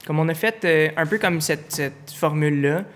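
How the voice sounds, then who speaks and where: A man's voice: a little high, moderate pace. Laid-back young man with a raised voice. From Ontario, Canada. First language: English. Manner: relaxed, mixes English slang into French, chuckles often. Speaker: guest, music producer. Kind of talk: casual podcast chat.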